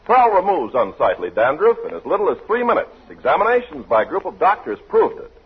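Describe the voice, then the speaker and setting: A male radio announcer in 1940s commercial style, with a very husky tone. His pitch swings dramatically up and down, and the old recording is slightly muffled. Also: very animated, fast.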